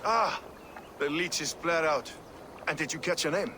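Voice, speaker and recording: An actor playing a blunt, foul-mouthed companion of a Viking in a video game, with an earthy, weary manner. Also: male voice, on the high side, unhurried, sharp tone, slight background noise. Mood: curious. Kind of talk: dramatic video game acting. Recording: music and ambience under voice.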